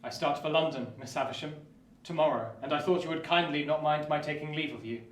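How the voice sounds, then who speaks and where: A man's voice: a little high, quick pace, far from the mic, close to an everyday voice. An actor playing a polite, humble and earnest young man in a theatrical radio play. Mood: earnest, deferential. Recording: roomy echo, off the mic.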